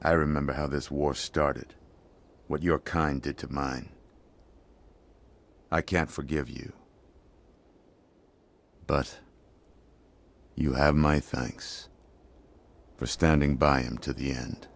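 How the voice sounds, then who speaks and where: An actor playing a grave older male military commander in a video game, speaking in formal, measured oratory. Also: rumbling low, fairly even intonation, gravelly, heavily acted. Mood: bitter, subdued. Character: gruff, solemn older male military commander giving a eulogy. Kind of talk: dramatic video game cutscene acting.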